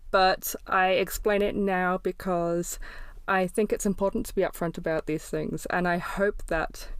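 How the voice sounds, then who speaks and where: A woman podcast host speaks candidly and reflectively, often pausing on ums and restarting her thoughts. Her voice is smooth and clear. The recording is dry and close, with no room sound. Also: medium pitch, no echo. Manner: reflective, candid, frequent fillers. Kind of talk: podcast intro and interview.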